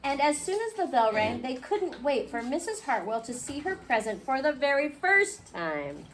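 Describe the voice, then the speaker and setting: A woman reading a picture book aloud to a class, expressively, pausing to question her young listeners. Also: sits high, sing-song. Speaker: children's storybook reader. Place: indoor school classroom. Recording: clearly noisy classroom recording.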